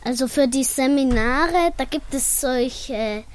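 A woman's voice: high voice, slow. A female speaker, informally explaining how a programme works in an interview.

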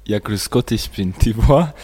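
Teen guy, lowish voice, student chatting through a language segment on radio. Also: a little slow, a little mellow, slightly rough. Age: teenager. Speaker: school student in a radio project. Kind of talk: presenting language comparisons with a classmate. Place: school radio recording.

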